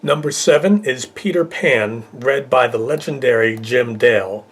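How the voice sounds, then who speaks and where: A man hosts with a casual but scripted delivery. His voice sits at a medium pitch as he moves through a countdown list. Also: unhurried, breathy edge. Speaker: video host.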